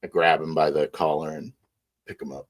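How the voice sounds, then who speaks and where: A man improvising first-person narration in a tabletop roleplay game, halting and low-key. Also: little pitch movement.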